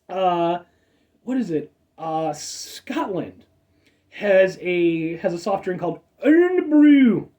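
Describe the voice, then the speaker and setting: A man in his thirties with a stuffed-up nose hosts a casual soda review, rambling, correcting himself and adding asides. His voice is mellow and dark, with lively swings in pitch. The mic sits a little away from him. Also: far from the mic. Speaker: food review show host.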